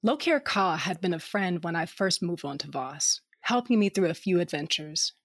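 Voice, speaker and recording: A woman's voice: lowish voice, expressive, moderate pace, no echo. A woman narrates an audio drama in the first person and also speaks as its lead. Her delivery is wry and determined. The recording is close and dead dry, with no room sound.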